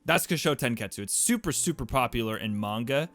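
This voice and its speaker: A man's voice: medium pitch, animated, a little fast, fairly bright tone. A man hosting a video game playthrough with casual, rambling commentary and loose conversational asides.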